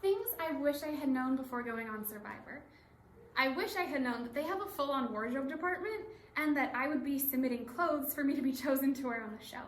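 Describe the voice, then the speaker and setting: A woman's voice: high-pitched, lively intonation, a little fast. A woman performing readers theatre as an earnest, chatty young reality show contestant, with emphatic delivery. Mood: wry, exasperated. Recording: echoey room, some background noise.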